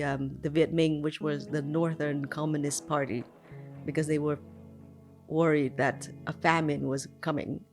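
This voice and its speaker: A woman in her forties from Vietnam, recalling her childhood in an unscripted, reflective way, with frequent ums and likes and restarts in her sentences. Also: sits low. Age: 40s. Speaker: migrant telling her life story. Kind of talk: unscripted personal memoir.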